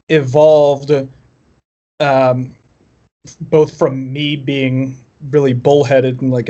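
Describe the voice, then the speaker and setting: A man's voice: mid-range voice, a little mellow, muffled recording. A man chatting casually as a musician guest on a podcast, telling personal stories in a wry, self-deprecating way. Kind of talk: casual chat, personal storytelling.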